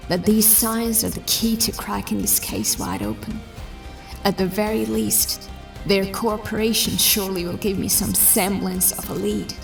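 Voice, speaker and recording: A woman plays a resolute female detective in a science fiction audio drama. Her mid-pitched voice has plenty of vocal fry and a purposeful, businesslike delivery. She sounds slightly away from the mic, with music underneath. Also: recorded from a distance. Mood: brooding, resolute. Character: determined, businesslike female detective in a sci-fi story. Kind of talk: audio drama acting, dialogue and monologue.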